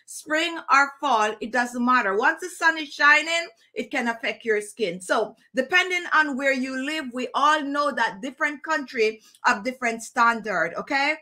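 A middle-aged woman from Jamaica with a Jamaican accent, chatting to camera in a relaxed, digressive skincare tutorial, laughing now and then. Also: sits high, moderate pace, few pauses. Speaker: skincare vlogger. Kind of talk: casual tutorial talk to camera. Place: indoor room with plants and screen.

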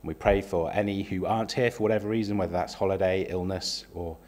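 Low-voiced man, slightly croaky. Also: steady tone, fast talker. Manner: gentle, hesitant, with frequent ums. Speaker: lay worship leader. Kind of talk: welcome and spoken prayer at a church service. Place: small church sanctuary, indoors. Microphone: stand microphone at a lectern.

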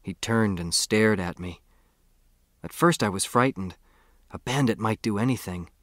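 A man's voice: on the low side, a little slow, fairly bright tone, dry recording, clean studio sound. A man reading a first-person story as an audiobook narrator.

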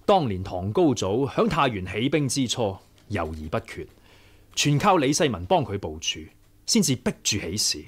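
An actor playing a courteous, persuasive young rebel leader in a Hong Kong Cantonese radio drama. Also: male voice, medium pitch, sing-song, moderate pace, a bit bright, husky. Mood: persuasive, earnest.

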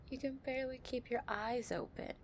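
A woman playing a possessive young gang-leader girlfriend, tough but tender, in an intimate, soft-spoken audio roleplay. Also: high-pitched, steady tone, normal speed, a little hiss or hum. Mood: affectionate, drowsy.

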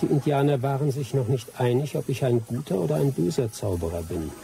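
A man's voice: medium pitch, animated, a little fast, gravelly, warm tone, soft background music. An actor playing a seasoned, teasing male jungle adventurer with dry humour in a German radio drama. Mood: wry storytelling.

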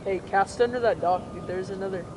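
Excited teen guy fishing from a kayak. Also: high-pitched, a little fast, noisy background. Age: teens. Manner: excited, slangy, calls his friend dude. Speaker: fishing vlogger. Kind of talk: casual outdoor fishing vlog. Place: kayak on a lake outdoors. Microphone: action camera mounted on the kayak.

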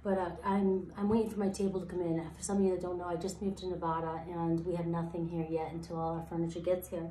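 A woman in her thirties with a slightly raspy voice, giving a casual tarot reading and rambling through her thoughts with many fillers. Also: lowish voice, little pitch movement, fast talker, hardly any pauses, mellow, a little hiss or hum. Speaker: tarot reader. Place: sparse room, indoors, seated at floor level. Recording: echoey empty room.

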